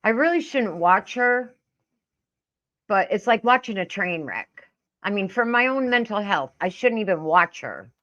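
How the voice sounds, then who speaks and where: A woman's voice: medium pitch, animated, measured pace, a bit bright, muffled recording. A middle-aged woman giving casual, profane reaction commentary in a blunt, indignant, scolding manner. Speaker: reaction video host.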